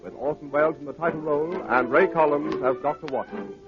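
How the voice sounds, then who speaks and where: Higher-voiced 1930s male radio announcer. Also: fast talker. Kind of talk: 1930s formal broadcast announcing. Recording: muffled 1930s radio broadcast. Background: music.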